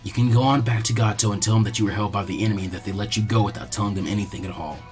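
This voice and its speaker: Stoic young male ninja for a story, voiced by a man: low, dry, coldly calculating, deadpan. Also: racing, soft background music, natural voice.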